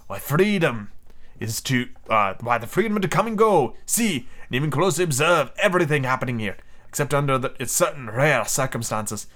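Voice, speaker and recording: A man's voice: medium pitch, expressive, normal speed, a bit bright, lightly acted. A man reading aloud, voicing an aloof middle-aged male ship commander who speaks formally and coldly. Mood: stern.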